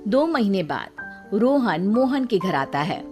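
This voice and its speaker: A woman's voice: mid-range voice, very animated, measured pace. A woman reading a scripted story as its narrator. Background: background music.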